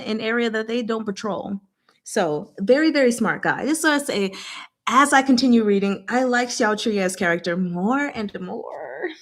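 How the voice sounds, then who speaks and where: A woman hosting a casual book discussion, recapping chapters in a chatty, playful, slangy way and voicing characters' lines in everyday speech. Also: fairly high pitch.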